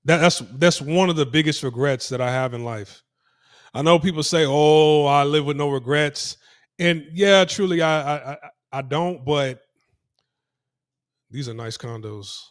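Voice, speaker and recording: A man's voice: on the high side, measured pace, no room sound. Man, casual street vlog, rambling, repeats himself, dry close sound. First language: English. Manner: rambling, repeats himself, many restarts and fillers. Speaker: vlogger. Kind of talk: casual walking vlog, talking to camera. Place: outdoors, on city streets, moving around.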